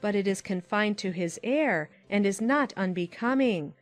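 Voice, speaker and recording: A woman playing a talkative, opinionated middle-aged aunt in a dramatized audiobook, in a lively manner. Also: medium pitch, normal speed, faint music behind, lightly acted. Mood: chatty. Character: chatty warm-hearted middle-aged aunt.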